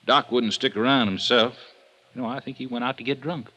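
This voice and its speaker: An actor playing a middle-aged veteran police detective with an American accent, dry and folksy in 1950s radio drama style. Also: male voice, mid-range voice, fairly even intonation, brisk, sharp tone, low-quality recording. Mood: dry, wry. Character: gruff, wry, baffled veteran male police detective.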